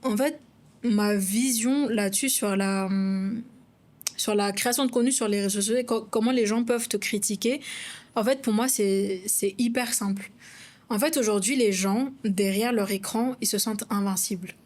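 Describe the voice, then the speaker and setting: A young woman with a Malagasy accent talks frankly in a relaxed podcast chat. Her voice sits at a middling pitch, and she speaks her mind plainly in an easy, conversational way. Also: normal speed. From Madagascar. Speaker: podcast co-host, content creator.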